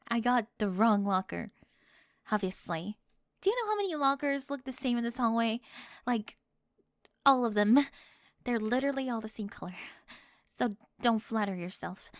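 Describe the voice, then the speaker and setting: A woman voicing a flustered, defensive teenage cheerleader bully with a secret crush in an ASMR roleplay, halting and nervous, often dropping toward a whisper. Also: fairly high pitch, no room sound, clean studio sound. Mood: startled, defensive. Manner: halting, self-interrupting, nervous laughs, drops into whispers.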